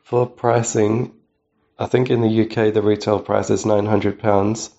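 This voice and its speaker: A man from Australia with a cold, speaking a listener message in a flat, read-out way. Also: fairly low pitch, a little slow, highs cut off. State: has a cold. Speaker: listener sending a voice clip.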